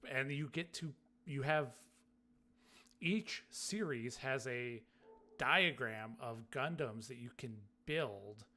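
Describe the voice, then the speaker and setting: A man's voice: mid-range voice, bright, forceful tone. A man co-hosting a podcast, talking casually and conversationally. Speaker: podcast co-host.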